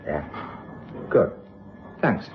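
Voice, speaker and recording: An actor playing a gruff, impatient British detective chief inspector in a radio drama, with brusque, colloquial questioning. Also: male voice, drawn-out words. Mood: urgent, businesslike. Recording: dull lo-fi radio recording, faint noise.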